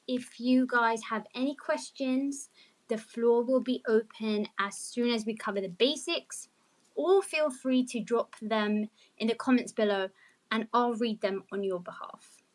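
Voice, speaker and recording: Bubbly high-voiced woman host. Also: measured pace. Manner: bubbly, upbeat and chatty. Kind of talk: casual live audio chat. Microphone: remote audio stream.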